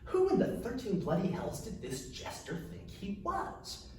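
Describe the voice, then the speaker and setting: A man's voice: sits high, huge pitch swings, measured pace. An older man, an author introducing and reading his own fantasy story. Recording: distant microphone, very echoey room, faint noise.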